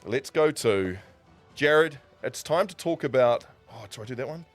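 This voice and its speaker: A man with a New Zealand accent telling stories casually as a livestream host, in an animated raconteur's way with dramatic pauses. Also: mid-range voice. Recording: music playing behind the voice. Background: background music.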